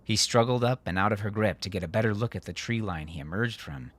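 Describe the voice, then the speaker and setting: A man with a low voice narrates a dramatic story. His delivery is measured and deliberate, in the manner of a scripted audiobook.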